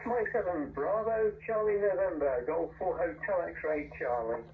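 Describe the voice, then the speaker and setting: A man's voice: a little high, a little slow. A man chatting casually as an amateur radio operator, his voice coming over a radio link. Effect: radio transmission. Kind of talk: casual radio contact chat. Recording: tinny, distant, faintly noisy radio link.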